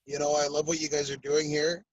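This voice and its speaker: Male caller, somewhat high pitch, casual reflective delivery, halting with repeated phrases. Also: completely flat delivery, no echo, muffled recording. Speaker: call-in participant.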